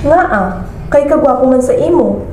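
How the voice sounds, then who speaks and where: A woman's voice: high voice, animated, moderate pace, warm tone. A woman playing a self-reliant young career woman in an amateur student radio drama. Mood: friendly, candid. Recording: echoey room, clear background noise.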